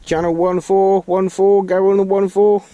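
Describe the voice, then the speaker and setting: A man with a slightly high-pitched voice talks casually to viewers as he demonstrates gear. His delivery is informal and halting, wandering as he goes. He is recorded inside a car with very loud hiss and noise behind him. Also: flat delivery. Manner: hesitant, rambling, informal. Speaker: vlogger demonstrating a CB radio. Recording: noisy car interior, radio hiss. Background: loud radio hiss and static.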